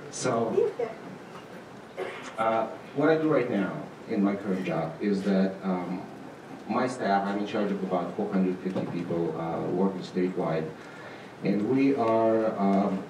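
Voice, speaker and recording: A middle-aged man giving an informal conference presentation, halting and dryly humorous. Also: deep voice. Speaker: conference presenter, state agency manager. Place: auditorium stage. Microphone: handheld microphone, recorded from far camera. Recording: echoey hall, distant, noisy room sound.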